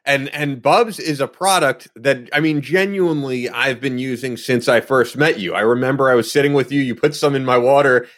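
A man's voice: mid-range voice, normal speed, few pauses, a bit bright. A man in his thirties hosting a podcast, enthusiastically praising a product in casual conversation. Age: 30s. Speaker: podcast host, former personal trainer.

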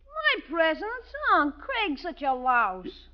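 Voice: high voice, huge pitch swings, takes it slowly, heavily acted. A performer playing a blunt, grudging young nephew in 1940s radio comedy style. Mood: grudging protest. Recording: dull lo-fi 1940s radio recording.

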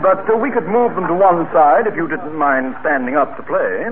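An actor playing a polite, earnest, slightly pretentious shop clerk in 1940s radio comedy, hesitant and deferential. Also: male voice, fairly high pitch, normal speed, close to an everyday voice. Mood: obliging. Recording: narrow-band, noisy vintage radio recording.

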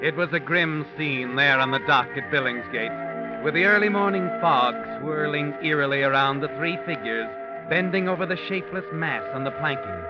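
An actor playing a mysterious night-walking storyteller, narrating crime tales in a theatrical, foreboding 1940s radio style. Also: male voice, fairly high pitch, moderate pace, close to an everyday voice. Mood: grim, suspenseful. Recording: muffled vintage radio, loud music behind.

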